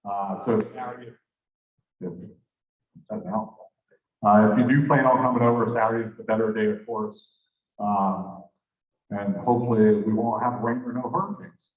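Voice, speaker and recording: A man's voice: on the low side, fairly even intonation, moderate pace, pauses often. Man, casual club announcements, conversational and self-correcting, thin phone-like sound. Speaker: club volunteer making announcements. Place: club meeting room. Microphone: handheld microphone held at a distance. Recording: tinny, echoey room from far off.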